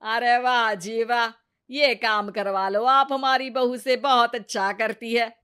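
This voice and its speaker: Woman acting a slow, taunting cartoon mother-in-law. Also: a little high, fairly even intonation, bright, forceful tone, smooth. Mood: sarcastic complaining. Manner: taunting, drawn-out mocking speech. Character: sarcastic, nagging older Indian mother-in-law, self-styled innocent. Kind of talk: cartoon moral story acting.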